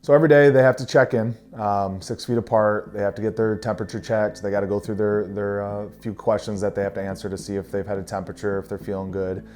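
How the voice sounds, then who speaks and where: A man in his thirties, an assistant football coach, talking casually and haltingly in an interview. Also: on the low side, little pitch movement, a little mellow. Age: 30s. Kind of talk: documentary interview.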